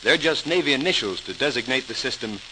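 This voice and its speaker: An actor playing a cheerful, earnest young Navy lieutenant in a 1940s radio drama. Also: male voice, medium pitch, expressive, fast talker, natural voice. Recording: hissy, crackling 1940s radio recording.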